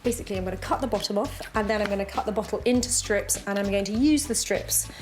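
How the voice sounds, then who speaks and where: A woman's voice: medium pitch, quick pace. A woman presenting casually, thinking aloud as she works through a craft job. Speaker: upcycling TV show presenter. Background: music.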